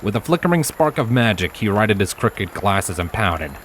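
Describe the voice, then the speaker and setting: A man reading fiction aloud as an expressive narrator of a scripted story. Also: fairly low pitch, normal speed, a faint crowd, a little hiss or hum.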